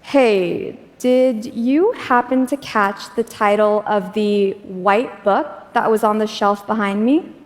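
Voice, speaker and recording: A woman's voice: medium pitch, takes it slowly. A woman runs a live demo on stage, upbeat and chatty, with a few filler words. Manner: upbeat, chatty, laughs often, some fillers. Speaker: demo presenter. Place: stage of a large auditorium. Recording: echoey hall reverb.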